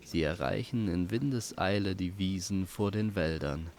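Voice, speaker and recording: A man narrating a fantasy audio story as its host, in a calm, poetic and unhurried way. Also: low voice, slight background noise.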